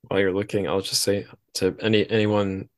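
Deep-voiced man hosting a casual interview. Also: flat delivery, fairly quick. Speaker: podcast host, interviewer.

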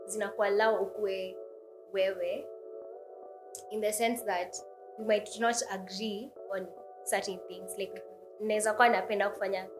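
A woman in her twenties with a Kenyan accent, co-hosting a casual podcast in a soft, hesitant way. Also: medium pitch. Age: 20s. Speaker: podcast co-host. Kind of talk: casual podcast chat mixing English and Swahili.

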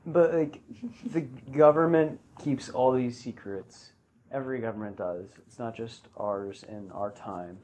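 A man's voice: medium pitch, steady tone, a little slow, a little mellow. A man chatting as a guest on a casual podcast with friends, explaining points in a plain, matter-of-fact way. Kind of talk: casual chat among friends.

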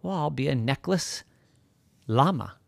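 A man's voice: medium pitch, expressive, unhurried, creaky, dry recording, voice pushed up. A man voicing a naive, earnest llama in a storybook tale, with a thin, whiny, pleading way of talking. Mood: earnest, determined. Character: naive, whiny, pleading, determined young-sounding male llama.

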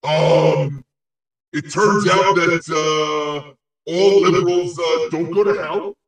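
A man performs a crude male ghost who revels in spite. The voice sits a little high and comes from far off, swimming in heavy echo. He delivers insults and prophecies pompously, with halting pauses, as in a comic audio play. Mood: smug. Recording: very echoey, distant-sounding voice.

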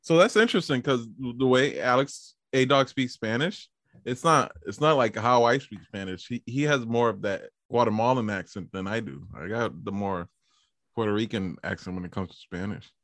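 A man in casual conversation, relaxed and swearing offhandedly. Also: mid-range voice, moderate pace, no room sound, clean studio sound.

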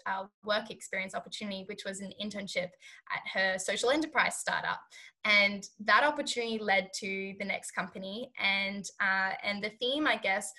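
Bright-voiced young woman founder. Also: medium pitch. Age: 20s. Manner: rambling with many fillers. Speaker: startup founder guest. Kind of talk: casual podcast interview.

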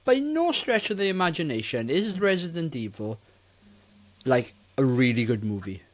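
A man's voice: medium pitch, sing-song, normal speed. A man in his forties co-hosting a casual film review podcast, speaking in a blunt, exasperated way. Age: 40s. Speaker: podcast co-host.

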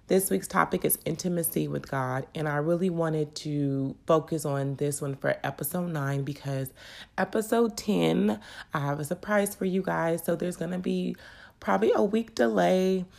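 A woman's voice: fairly low pitch, normal speed, creaky, darkish tone. A woman hosting a faith podcast in an earnest, personal, encouraging way, her voice crackly and congested from a cold. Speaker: podcast host.

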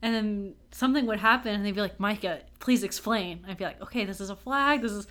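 A woman's voice: mid-range voice, brisk, a bit bright. A young woman from Texas co-hosting a podcast, chatty and upbeat, joking about herself and laughing as she talks. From Texas, USA. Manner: chatty, upbeat, self-mocking, laughs often. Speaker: podcast co-host. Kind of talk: casual podcast chat.

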